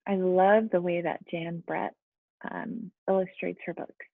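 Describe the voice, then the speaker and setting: A woman's voice: on the low side, flat delivery, a little slow, vocal fry, no echo. A woman teacher speaking encouragingly to young children, as in a lesson and storybook read-aloud, with muffled sound. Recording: muffled, phone-like narrow-band sound.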